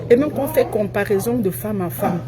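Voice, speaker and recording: A woman's voice: mid-range voice, lively intonation, a little fast, a loud crowd. A woman giving a self-assured, emphatic live-stream monologue, repeating phrases to drive them home.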